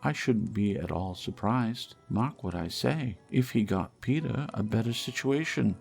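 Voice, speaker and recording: A man's voice: on the low side, animated, measured pace, creaky, a little mellow, husky, soft background music, voice pushed up, exaggerated voice. A man voicing a tender, grieving working-class father in a storybook, speaking earnestly and with emotion. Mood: gently cheerful. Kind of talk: storybook character acting.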